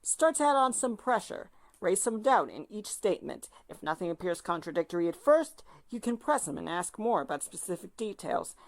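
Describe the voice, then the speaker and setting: A woman playing a brisk, confident mentor to a rookie lawyer in an amateur audio drama, with music behind. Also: medium pitch, sing-song. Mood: instructive.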